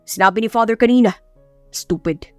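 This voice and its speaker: A woman's voice: on the high side, brisk, no room sound, lightly acted. A woman voicing a smug, teasing, curt groom in an audiobook. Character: smug, teasing baritone groom, easily scandalized. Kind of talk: audiobook character acting. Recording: music behind the voice.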